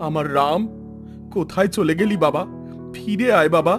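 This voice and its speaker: A man's voice: fairly high pitch, moderate pace, over music, lightly acted. A man playing a sorrowful father in a cartoon, lamenting in a mournful, emphatic, melodramatic way. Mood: reproachful grief. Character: sorrowful village father of two.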